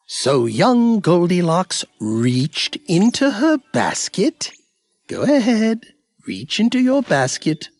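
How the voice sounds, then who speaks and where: A pompous, patient cartoon storybook narrator, speaks with grand formality. The pitch swings very widely, the pace is slow and deliberate, and the tone has a husky edge. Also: very animated, a little mellow, dry recording, full character voice. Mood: patient, instructive.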